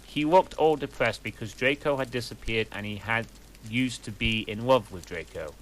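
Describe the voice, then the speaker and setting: A man reading a fanfic aloud in a deadpan way, with sarcastic asides. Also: mid-range voice, normal speed, a little hiss or hum, low-quality recording. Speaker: reader.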